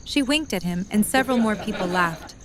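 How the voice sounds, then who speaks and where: A woman's voice: mid-range voice, lively intonation, fairly quick. A woman narrator reading scripted story narration for an audio drama. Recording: loud music and crowd behind. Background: loud music, cheering or chattering crowd.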